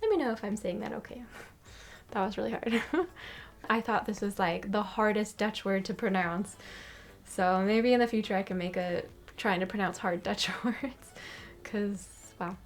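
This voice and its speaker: A woman's voice: mid-range voice, unhurried. Chatty young American woman vlogging. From United States. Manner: chatty, repeats words to practise them, laughs at herself. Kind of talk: casual chat to camera.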